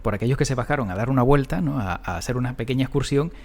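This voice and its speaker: A man with a medium-pitched voice hosts a podcast. He comments informally and conversationally, as if chatting with a guest. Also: racing, darkish tone. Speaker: podcast host.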